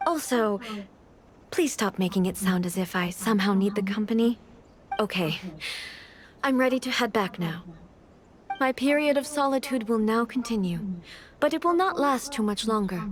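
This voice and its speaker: Woman as a high-voiced, solemn regal goddess. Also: natural voice. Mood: aloof. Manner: formal, measured, aloof. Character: solemn, regal goddess ruler, reserved and resolute. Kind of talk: video game character acting. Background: background music.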